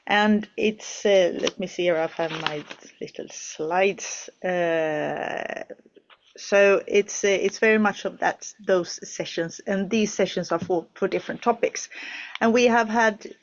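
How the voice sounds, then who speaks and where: A woman's voice: mid-range voice, slow pace, muffled recording, slight background noise. A woman hosting an online project session from a studio, talking hesitantly with many fillers and restarts. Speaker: webinar host.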